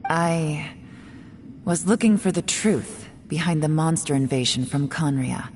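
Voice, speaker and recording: A woman voicing a secretive, composed young woman agent in a video game, speaking in a measured, guarded way with heavy sighs. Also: deep voice, a little mellow, slight background noise. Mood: solemn.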